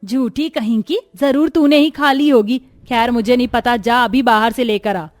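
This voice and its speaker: A woman voicing a domineering middle-aged mother-in-law in a cartoon story, sharp and nagging in manner. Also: a little high, normal speed, soft background music, lightly acted. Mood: scolding. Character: haughty, scolding middle-aged Indian mother-in-law, doting on her daughters. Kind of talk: acted Hindi cartoon story dialogue.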